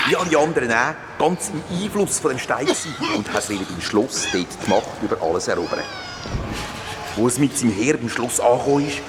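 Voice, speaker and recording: A man's voice: mid-range voice, background noise, close to an everyday voice. A man playing a fantasy-world ruler in a Swiss German children's audio drama, telling the tale in an emphatic, theatrical way. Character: grateful fantasy-world ruler freed from a spell, recounting dramatically. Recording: long reverb, music behind.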